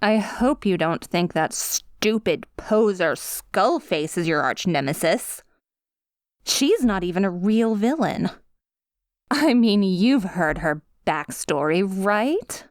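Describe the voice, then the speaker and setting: A woman's voice: mid-range voice, lively intonation, slow pace, dry recording, studio quality. A woman playing a needy, taunting female supervillain obsessed with a hero, in a theatrical, coy ASMR roleplay monologue. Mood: scornful. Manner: theatrical, coy, swooping between pouty and menacing.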